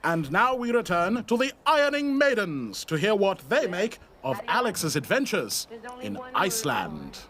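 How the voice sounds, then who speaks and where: An actor playing a playful, dramatic soap-opera announcer, narrating a radio play. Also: male voice, high-pitched, wildly swooping pitch, measured pace, fairly bright tone, exaggerated voice.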